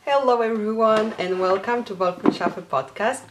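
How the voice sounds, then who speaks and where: A woman's voice: mid-range voice, moderate pace, noisy background. A woman in her thirties from Poland, hosting a knitting podcast in casual, chatty talk to camera from a small home craft room. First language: Polish. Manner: chatty, with many fillers and small laughs.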